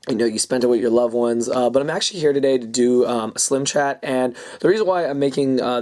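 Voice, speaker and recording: A young man with a raspy voice he is losing, hosting a casual advice vlog and talking loosely with lots of ums and uhs. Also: mid-range voice, a little mellow. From California. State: hoarse, losing his voice. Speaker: YouTube card game channel host.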